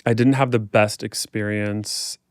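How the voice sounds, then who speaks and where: An American man with a smooth, clear voice on the low side, recorded dry and close. He speaks reflectively as a podcast guest, in a casual, candid way with plenty of "like" and "you know.". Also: fairly even intonation, measured pace, no room sound. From United States, Kansas City area. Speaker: podcast guest, performer and fitness instructor. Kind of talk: reflective, candid interview conversation.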